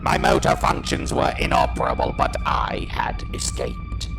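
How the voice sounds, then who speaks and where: Casting: stranded alien war machine, cold and fanatical, gravelly robotic rasp, slow halting delivery. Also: male voice, extremely high pitch, huge pitch swings, over music, exaggerated voice. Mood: grim, haunted. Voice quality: harsh, grating, electronic. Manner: halting, staccato, declamatory. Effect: robotic voice modulation. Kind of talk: dramatic first-person narration in audio drama.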